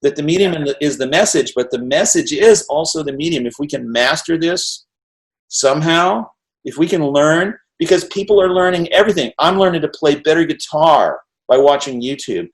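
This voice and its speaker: A middle-aged man with a fairly high voice, hosting a conversation over a video call. He talks in quick, rambling bursts, laughing and tossing in "right?" to keep his guest with him. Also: expressive, rough recording. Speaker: interview host. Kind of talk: animated casual conversation.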